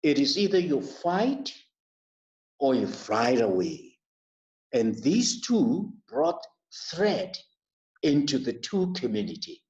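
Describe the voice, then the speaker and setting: Man, webinar panellist, slow measured talk with a lively pitch, dull call audio. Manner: slow, deliberate speaking. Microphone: video call. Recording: muffled video call audio.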